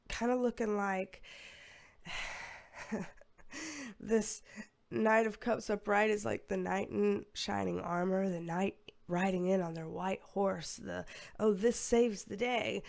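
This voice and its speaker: Hoarse woman reading tarot. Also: mid-range voice, darkish tone. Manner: rambling, filler-heavy, with sighs. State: losing her voice, a bit hoarse. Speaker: tarot reader. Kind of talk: casual psychic reading to camera.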